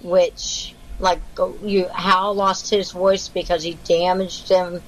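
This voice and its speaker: A woman's voice: fairly low pitch, level tone, takes it slowly, poor audio. A woman in casual chat, explaining at length with many restarts, her voice faltering from a vocal condition.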